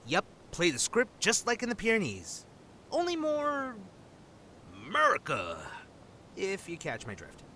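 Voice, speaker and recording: Cocky, theatrical operative with swooping voice. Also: male voice, high voice, huge pitch swings, pushed and bright, slight background noise, heavily acted. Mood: teasing, upbeat. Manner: theatrical, joking, drawn-out delivery. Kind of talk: audio drama acting.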